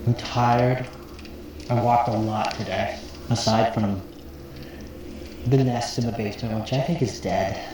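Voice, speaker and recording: Man acting as weary lone survivalist, understated found-footage horror log, musing, heavy echo, clear background noise. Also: medium pitch, fairly even intonation, measured pace, pauses often, a little mellow, lightly acted. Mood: tired, musing. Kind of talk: found-footage horror monologue, casual and low-key. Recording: echoey, very noisy found-footage audio.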